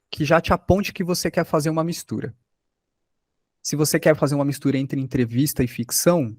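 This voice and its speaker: A man's voice: on the high side, dry recording, studio quality. A Brazilian man giving an informal lesson as a workshop instructor, explaining by example with rhetorical questions and check-ins.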